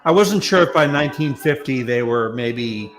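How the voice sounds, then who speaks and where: A man's voice: medium pitch, expressive, moderate pace, music in the background. A man in casual chat, musing and a little hesitant as he talks.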